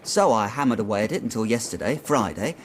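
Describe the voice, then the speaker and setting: A man's voice: lowish voice, lively intonation, fast, slight background noise, natural voice. An actor playing an earnest, uneasy young male clerk in a period radio drama, eagerly and deferentially telling his story.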